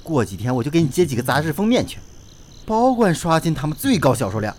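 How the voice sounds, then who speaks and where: Talent agent, highish male voice, animated, exclaiming banter, faint ambient noise. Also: quick pace. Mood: gleeful admiration. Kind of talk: Chinese audio drama acting.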